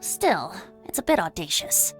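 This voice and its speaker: A woman's voice: lowish voice, sing-song, unhurried, faint music behind, rough recording. A woman voicing a youthful, carefree male bard in a video game, with playful, theatrical and flowery delivery. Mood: amused, theatrical. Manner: theatrical, flowery, whimsical phrasing. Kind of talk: video game character acting.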